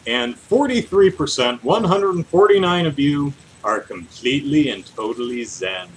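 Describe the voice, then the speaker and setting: A man in his thirties hosting a podcast in casual chat, with an animated, self-deprecating way of telling stories. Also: medium pitch, normal speed, low-quality recording. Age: 30s. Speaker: podcast host. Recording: clear steady background noise.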